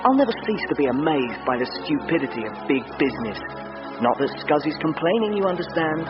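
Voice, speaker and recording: An actor playing a smug, boastful computer hacker in a radio drama monologue, conspiratorial in manner. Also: male voice, a little high, sing-song, brisk. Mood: gleeful, boastful. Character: cocky, boastful male young computer hacker. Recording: dull sound under loud music.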